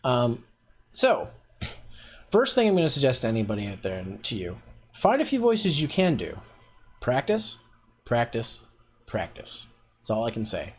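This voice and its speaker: Chatty self-deprecating man, lively voice. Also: medium pitch, normal speed, long pauses, a little hiss or hum. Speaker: voice acting workshop host. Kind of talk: casual livestream teaching.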